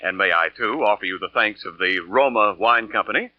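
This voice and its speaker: A man's voice: on the low side, a little fast, no room sound. A man announcing for a 1940s radio show, delivering scripted sponsor copy with polished, emphatic salesmanship. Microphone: studio radio microphone. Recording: muffled old radio broadcast, faint music.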